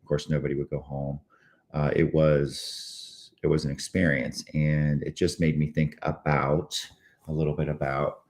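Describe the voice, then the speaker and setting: Hoarse, very deep-voiced man. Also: a little mellow. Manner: frequent throat clearing, halting with many fillers. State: tired. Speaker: host of a short morning show. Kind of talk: casual morning monologue.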